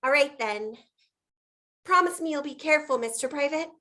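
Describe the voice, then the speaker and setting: A woman's voice: sits high, expressive, normal speed, pushed and bright. A woman playing an earnest, worrying young assistant to a private detective, polite and solicitous, in noir stage dialogue. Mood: concerned.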